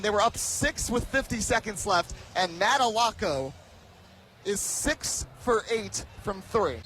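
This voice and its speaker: A man in his twenties doing live radio play-by-play of basketball, with excitable, dramatic calls that rise into shouting. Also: high-pitched. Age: 20s. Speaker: play-by-play commentator. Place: basketball arena.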